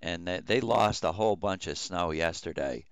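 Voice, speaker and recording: A man's voice: low-pitched, normal speed, smooth, no echo. A man chatting casually on a livestream as a radio hobbyist host, rambling in a folksy, hesitant way.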